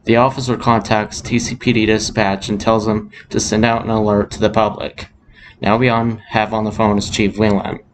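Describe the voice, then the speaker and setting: Man playing a low-voiced breaking news anchor. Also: flat delivery, normal speed, background noise, lightly acted. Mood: serious. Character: male breaking news anchor reporting a disaster. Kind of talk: scripted amateur news bulletin. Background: music.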